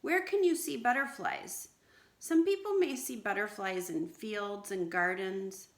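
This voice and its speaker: The voice is a middle-aged American woman with an average pitch. She reads aloud as a teacher does for young children, explaining things simply. The room around her is noticeably echoey, as if recorded on a camera a little way off. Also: measured pace. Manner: clear, simple explaining with questions to listeners. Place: small indoor room at home, seated on a bench. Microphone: camera or phone a short distance away.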